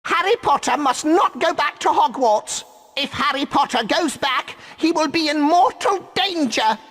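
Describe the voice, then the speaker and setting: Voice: sits high, lively intonation, measured pace, a bit bright, big-room sound. An actor voicing a small, anxious male house elf, solemn and pleading, in a video game. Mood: urgent warning.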